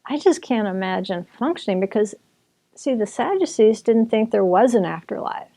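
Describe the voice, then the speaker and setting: This is a woman with a mellow, warm voice explaining history in an easy, conversational way. She talks like a teacher chatting with a friend, restarting sentences and circling back as she goes. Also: mid-range voice, lively intonation, moderate pace, vocal fry. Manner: chatty, with restarts and occasional laughter. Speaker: author and Bible teacher.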